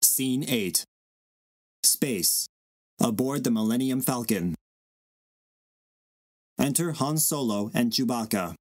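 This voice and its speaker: A man's voice: mid-range voice, animated, slow pace, long pauses, no echo, studio quality. A man narrating stage directions in a plain scripted reading.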